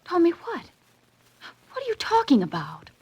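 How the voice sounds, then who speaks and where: A jittery young woman in an old radio mystery, high voice, hesitant and evasive. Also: huge pitch swings, normal speed, a little mellow, exaggerated voice. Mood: alarmed. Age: young adult. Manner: breathless, hesitant, anxious. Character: nervous, evasive young woman hiding something. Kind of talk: 1940s radio drama acting.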